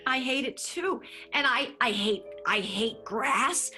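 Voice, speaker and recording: A woman plays a jittery scheming showman who lurches between panic and glee. The voice is bright and high, and it swoops widely. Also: slow, soft background music, heavily acted. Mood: giddy. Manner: panicky, excitable. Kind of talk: improvised radio play.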